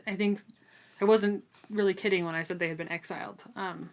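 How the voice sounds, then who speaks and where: A woman's voice: medium pitch, fairly even intonation, brisk. A woman co-hosting a book podcast, talking in a casual discussion style. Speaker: podcast co-host.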